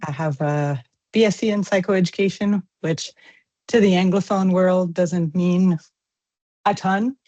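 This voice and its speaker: A woman's voice: lowish voice, fairly even intonation, unhurried, mellow. A woman from Quebec introducing herself casually over a video call, with loose phrasing and fillers. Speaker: counseling student. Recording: dull lo-fi video call audio.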